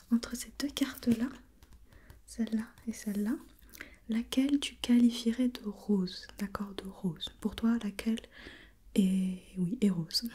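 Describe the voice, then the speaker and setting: A woman speaks in a breathy ASMR whisper, soft and dark in tone, as an examiner in a role play. She guides gently, step by step, repeating short questions. There is faint noise behind her. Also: fairly high pitch. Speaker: examiner in a role-played visual test.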